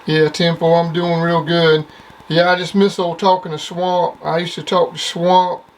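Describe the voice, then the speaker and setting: A man's voice: on the high side, little pitch movement, moderate pace, low-quality recording. A middle-aged or older man, chatting casually and plainly in CB radio jargon. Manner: plainspoken, uses repeated CB jargon. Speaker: CB radio operator. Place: at a home CB base station. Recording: faint background noise.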